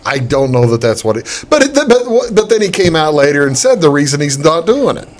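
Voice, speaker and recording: A man's voice: fairly high pitch, sing-song, fairly quick. A man hosting a casual sports talk show, chatty with wry asides. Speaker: show host.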